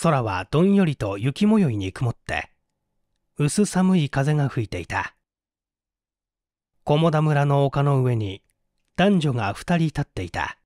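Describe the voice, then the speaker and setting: A man's voice: mid-range voice, sing-song, measured pace, long pauses, a little mellow, no room sound, studio quality. A man reading a literary mystery story aloud as a scripted, dramatic narration. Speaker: audiobook reader.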